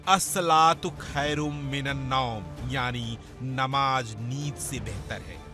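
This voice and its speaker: A man's voice: mid-range voice, takes it slowly. A man delivering scripted, dramatic news documentary narration in a solemn, emphatic storytelling manner. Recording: music under the voice. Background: background music.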